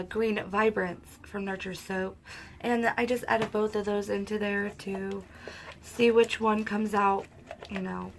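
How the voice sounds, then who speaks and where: A woman hosting a soap-making video, talking softly and casually because her throat is sore and she is losing her voice. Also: mid-range voice, gravelly, a little hiss or hum. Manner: soft-spoken, talks quietly. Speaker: soap-making channel host. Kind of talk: casual craft tutorial narration.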